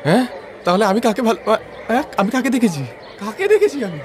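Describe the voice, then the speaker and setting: A man's voice: sits high, very animated, fairly quick, a little mellow, a little hiss or hum. A man voicing a bewildered, lovestruck young man who stammers in a cartoon story. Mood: flustered, bewildered. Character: flustered, lovestruck young man in love with twins. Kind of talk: cartoon moral story voice acting.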